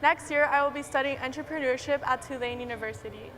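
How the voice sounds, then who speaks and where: An eighteen-year-old woman from Miami explains things clearly in a high, bright voice. Her talk is prepared and steady. The sound echoes in a large hall with a faint hum behind it. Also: fast talker. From Miami, United States. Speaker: student entrepreneur speaker. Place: large conference hall stage. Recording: reverberant hall with faint ambience.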